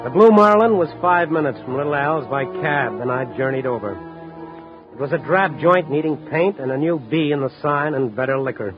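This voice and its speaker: An American actor playing a shrewd, wry newspaper reporter in a 1950s radio drama, speaking in a dry, measured, hard-boiled way. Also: male voice, a little high, animated, close to an everyday voice. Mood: brooding, wry. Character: shrewd, wry night-beat newspaper reporter, adult man. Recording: dull vintage radio recording with music.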